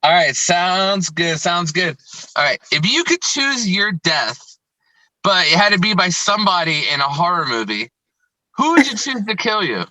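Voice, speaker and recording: A man's voice: high-pitched, sing-song, moderate pace, sharp tone, dry recording, rough recording. A man hosting a music interview in casual, slangy chat, enthusiastic and often repeating himself. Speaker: music show host interviewing.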